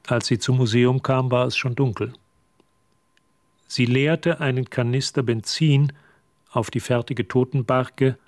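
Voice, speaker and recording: An actor narrating a German radio drama as a sober storyteller telling a dark tale. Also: male voice, mid-range voice, no echo, clean studio sound, natural voice.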